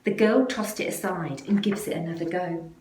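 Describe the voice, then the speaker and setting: A woman reading a children's picture book aloud in an expressive way, with deliberate, dramatic phrasing that leans on the action words. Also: on the low side. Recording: roomy, echoey, faint background noise.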